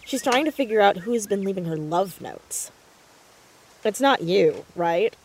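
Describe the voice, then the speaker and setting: A woman's voice: mid-range voice, lively intonation, a little slow, a little mellow, slight background noise, rough recording. A young woman playing an earnest, inquisitive teenage girl sleuth in a naturalistic audio drama, chatty and stammering when flustered. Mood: casual, upbeat.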